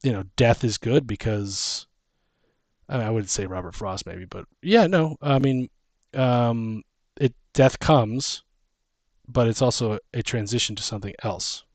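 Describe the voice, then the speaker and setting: A man co-hosting a casual chat, brainstorming fantasy lore in a halting, thinking-out-loud way. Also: mid-range voice, no echo. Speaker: podcast co-host.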